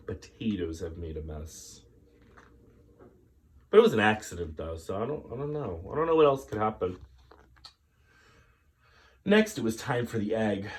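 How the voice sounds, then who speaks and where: A man reading a children's story aloud as a storyteller, dramatic and drawn out, with chatty asides. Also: fairly low pitch. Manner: dramatic, drawn-out delivery with pauses.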